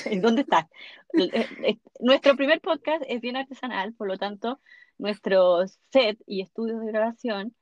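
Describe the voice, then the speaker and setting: A woman's voice: on the high side, measured pace, dry recording, dull audio. A woman co-hosting a homemade podcast, from Venezuela and Chile, chatting casually from a small closet. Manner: chatty, laughs often. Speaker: podcast co-host. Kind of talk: casual chat. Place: small closet at home, at night.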